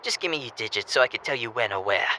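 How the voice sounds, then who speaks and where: A man's voice: mid-range voice, expressive, racing, bright, forceful tone, a little hiss or hum. A young man playing a cocky, boisterous student wingman in an audio drama, brash, teasing and pushy.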